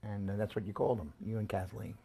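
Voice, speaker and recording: An older man being interviewed about his family, talking in a halting, casual way with frequent restarts and chuckles, his voice growing hoarse. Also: lowish voice, fairly even intonation, dark tone. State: losing his voice. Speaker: interviewee recalling family history.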